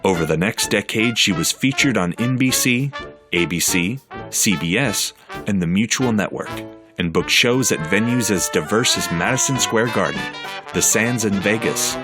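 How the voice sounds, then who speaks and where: A man narrating a documentary in an even, measured scripted delivery. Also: lowish voice, over music.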